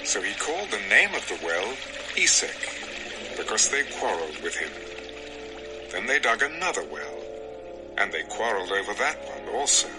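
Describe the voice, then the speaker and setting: Male narrator, scripted scripture storytelling, animated pitch, measured, expressive, music behind.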